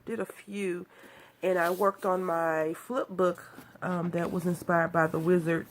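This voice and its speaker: A woman's voice: fairly low pitch, level tone, a little slow, a little hiss or hum. A woman from Texas, nasal from a cold, chatting and rambling as she walks through making a craft card. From Texas, USA. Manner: chatty, rambling, self-deprecating. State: has a cold. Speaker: craft video host. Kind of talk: casual card-making tutorial.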